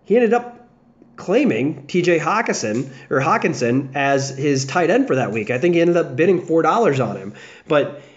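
A man's voice: on the high side, big pitch swings, moderate pace. Chatty young man, lo-fi podcast. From United States, south of the Chicago area. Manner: rambling, chatty, with restarts and fillers. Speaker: fantasy football podcast host. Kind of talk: casual solo podcast chat. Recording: dull lo-fi podcast audio.

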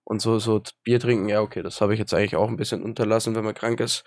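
A man's voice: lowish voice, steady tone, very fast. A young man with a cold, chatting casually as a podcast co-host, rambling and self-mocking with lots of hesitations. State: has a cold. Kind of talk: casual chat.

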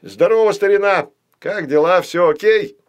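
A man's voice: sits high, normal speed, pitched above the performer's own voice, close to an everyday voice. A man voicing a small, boastful, touchy male doll in a children's story, brash and swaggering. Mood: brash greeting.

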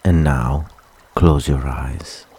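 A man leading a guided relaxation meditation with soft, soothing, unhurried instructions and long pauses. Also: very deep voice, steady tone, breathy edge.

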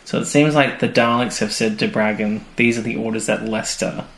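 A man's voice: on the low side, fairly even intonation, brisk, fairly bright tone, a little hiss or hum. Jokey man chatting in an echoey room. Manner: jokey, informal, acts out quotes casually. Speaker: podcast co-host. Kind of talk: casual chat, retelling TV episodes. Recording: echoey room, faint music behind.

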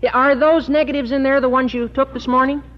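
A man's voice: very high voice, fairly even intonation, brisk. An actor playing an awkward, polite teenage boy, eager and hesitant, in an old radio comedy. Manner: hesitant, gawky, deferential. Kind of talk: 1940s-50s radio comedy acting. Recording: muffled old radio recording, long reverb, faint noise.

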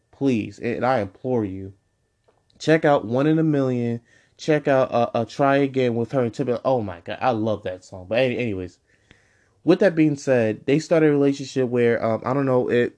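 A man's voice: mid-range voice, a little mellow. Rambling young man podcasting solo. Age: 20s. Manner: rambling, full of fillers and self-corrections. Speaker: podcast host. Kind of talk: casual solo podcast monologue.

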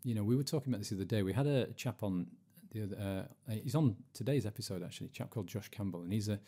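Male podcast host, forties, mellow tone, chatty and wryly self-deprecating. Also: on the low side, rapid-fire. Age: 40s. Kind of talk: casual podcast chat.

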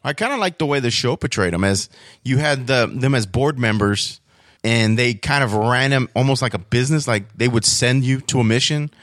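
A man's voice: medium pitch, normal speed, fairly bright tone, no room sound, studio quality. A man chatting casually in an informal, rambling storytelling way, as a podcast guest. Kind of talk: casual chat about superhero comics and cartoons.

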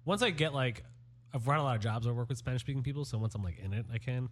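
A male podcast co-host, born in Colombia and raised in New York, rattles through jokey stories at a quick clip. His pitch jumps around a lot, and he swears casually. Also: expressive. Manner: joking, swears freely, rambling anecdotes.

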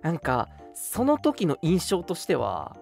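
Young man, casual radio co-host, lively varied intonation, storytelling manner, faint music behind. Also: a little high, unhurried, gravelly. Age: young adult. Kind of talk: casual chat, reminiscing. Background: background music.

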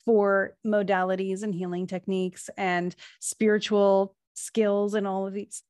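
A woman's voice: mid-range voice, level tone, unhurried, darkish tone, no echo, studio quality. A woman co-hosting a casual spiritual podcast, explaining at length with lots of fillers and restarts. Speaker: podcast co-host, astrologer.

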